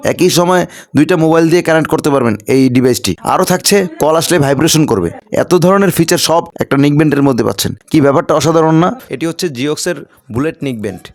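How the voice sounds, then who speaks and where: A man's voice: fairly high pitch, very fast, darkish tone. A man presenting a product as a gadget seller, in emphatic, colloquial sales patter. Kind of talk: promotional product demo narration.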